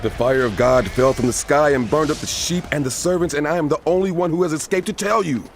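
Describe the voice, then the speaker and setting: A man as a rushed, alarmed messenger. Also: on the high side, a little hiss or hum, lightly acted. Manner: urgent, hurried. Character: breathlessly alarmed male messenger, sole survivor. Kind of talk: dramatized audio Bible acting.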